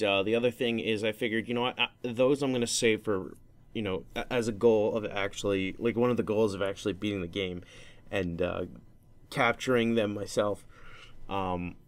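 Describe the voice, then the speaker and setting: A young man hosting a gaming podcast, talking casually and rambling with many fillers and self-corrections. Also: lowish voice. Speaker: podcast host. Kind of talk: casual solo talk about a video game.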